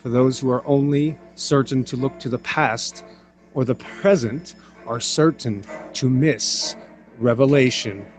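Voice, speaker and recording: A man's voice: mid-range voice, measured pace, a little mellow, faint music behind. A man hosting an online show, thanking viewers offhandedly, then closing with grand, rhetorical lines. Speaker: show host giving the outro. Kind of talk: casual sign-off.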